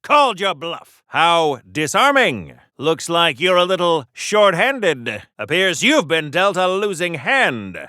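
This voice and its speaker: A man's voice: fairly high pitch, very animated, slow, few pauses, pushed and bright, dry recording, studio quality, heavily acted. A man playing a pompous, chipper 1940s patriotic superhero in a video game, declaiming old-fashioned wholesome patter like a radio serial hero. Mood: triumphant, taunting.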